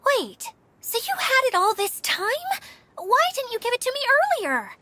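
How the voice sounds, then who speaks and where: Very high, bright earnest girl. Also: sing-song, moderate pace, dry recording, exaggerated voice. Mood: mildly impatient. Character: sweet, earnest girlish young hostess. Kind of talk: video game cutscene acting. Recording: clear background noise under dialogue.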